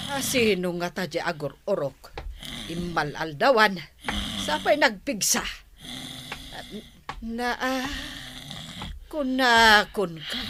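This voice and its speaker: A woman playing a weary, nagging village woman in a comic radio drama, with drawn-out, exasperated delivery. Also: medium pitch, background noise. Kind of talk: comedic radio drama acting.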